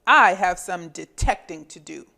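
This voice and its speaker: A woman voicing a cheerful, eager female dog detective from a storybook, with exclamatory, deliberate delivery. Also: on the low side, animated, gravelly, sharp tone, pitched below the performer's own voice, full character voice. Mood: determined.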